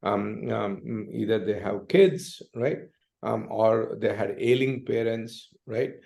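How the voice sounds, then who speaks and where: Male interview guest, South Indian accent, gravelly fry, unhurried and halting, often seeks agreement mid-thought. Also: on the low side, steady tone, a little mellow. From Hyderabad, Deccan region of India. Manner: hesitant phrasing, frequently tags sentences with "right?". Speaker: entrepreneur and investor, interview guest. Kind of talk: conversational interview answer.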